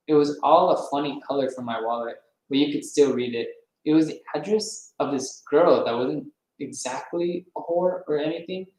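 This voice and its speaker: A man reading a novel aloud, now and then stumbling over words and correcting himself. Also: medium pitch. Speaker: reader. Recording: roomy echo.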